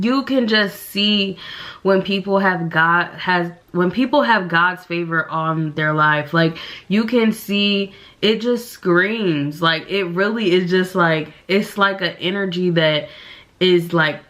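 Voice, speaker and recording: A woman's voice: medium pitch, sharp tone. A young woman, a bit nasal from the flu, chatting in a casual get-ready-with-me vlog at home and rambling with lots of fillers. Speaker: beauty vlogger. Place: indoors at home, getting ready.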